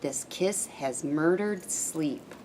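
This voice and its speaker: A woman's voice: sits low, slow pace, a little mellow, a little hiss or hum, poor audio. A woman on a school's staff reading a children's story aloud.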